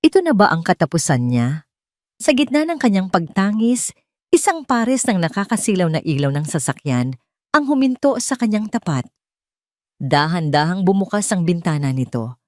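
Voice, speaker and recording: A woman with a Filipino accent narrating a dramatic story in a solemn, emotive, deliberate way. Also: on the low side, very animated, a little mellow, no room sound, studio quality.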